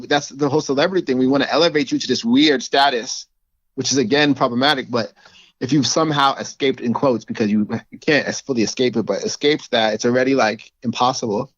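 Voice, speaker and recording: A man's voice: mid-range voice, no room sound. Author guest, man, reflective and searching for words, dull dry recording. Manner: thoughtful, restarts often, light laughs. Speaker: author, writing teacher. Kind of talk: casual conversation.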